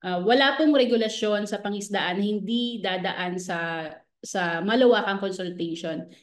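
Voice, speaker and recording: A woman with a Filipino accent speaking as an expert guest in a radio interview, politely explaining and mixing Filipino with English. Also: medium pitch.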